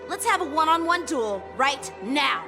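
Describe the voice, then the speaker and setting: A woman's voice: high-pitched, very animated, over music, exaggerated voice. A woman playing an earnest, hot-headed teenage boy swordsman who speaks formally and gets indignant, in a game cutscene. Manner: indignant, quick to anger, formal.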